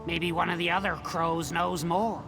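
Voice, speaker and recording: Woman as a creaky, bitter crow spirit. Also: fairly low pitch, steady tone, moderate pace, over music, natural voice. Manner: grumbling, envious. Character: bitter, trapped crow spirit, vengeful and resigned. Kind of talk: video game character acting.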